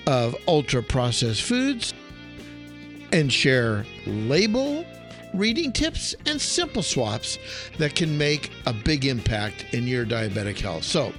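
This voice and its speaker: A man's voice: a little high, big pitch swings, slow pace, fairly bright tone, faint music behind. A man hosting a podcast with an enthusiastic, emphatic delivery, as if reading an upbeat script. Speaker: podcast host, chef.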